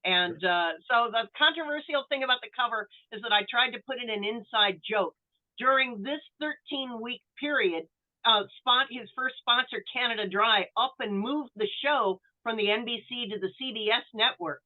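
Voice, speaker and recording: A woman guest chatting casually about her own work, with a halting, hesitant delivery. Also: fairly high pitch. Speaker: guest, author. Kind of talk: casual chat. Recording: thin, phone-like call audio.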